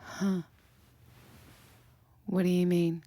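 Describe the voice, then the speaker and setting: A woman playing a candid, hesitant young woman talking softly with a new lover in an intimate, naturalistic audio drama. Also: lowish voice, flat delivery, extremely slow, dry recording. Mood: gently curious.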